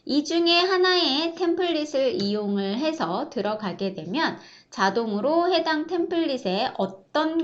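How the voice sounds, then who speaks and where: A woman instructor narrating an e-learning course, explaining step by step in a polite way, on a slightly dull recording. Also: sits high.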